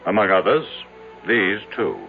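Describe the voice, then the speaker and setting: A man's voice: deep voice, measured pace, gravelly. An actor playing an impatient, humorless rich businessman in an old-time radio drama, speaking in clipped, formal, imperious phrases. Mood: agitated, suspicious. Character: brusque, wealthy, skeptical businessman, impatient and humorless. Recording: tinny vintage radio recording, background music.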